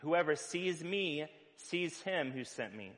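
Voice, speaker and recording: Conversational pastor in a reverberant hall. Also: male voice, on the high side, expressive, slow. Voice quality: loud voice. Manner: conversational preaching with fillers and playful asides. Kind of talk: sermon.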